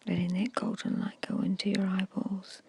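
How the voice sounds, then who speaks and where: A woman guiding an ASMR meditation in a hushed, soothing, breathy whisper with short, measured phrases. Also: medium pitch, level tone, no echo. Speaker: hypnosis and meditation guide.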